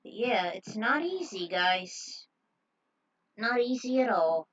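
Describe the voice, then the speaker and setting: A woman narrating her own story casually, pausing for halting, informal asides to viewers. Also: mid-range voice, expressive, a bit bright, highs cut off. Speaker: fan fiction author narrating own story.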